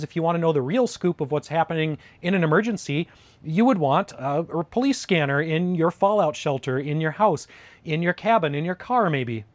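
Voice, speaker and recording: A middle-aged man from Alaska with an American accent, talking casually and informatively as a hobbyist video host in a conversational, explanatory way. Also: fairly high pitch. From Alaska, United States. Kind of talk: casual informative vlog talk.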